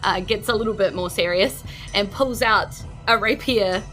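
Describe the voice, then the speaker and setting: A woman narrating a tabletop game as game master in a casual, improvised, animated way. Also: mid-range voice, normal speed, poor audio. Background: faint music.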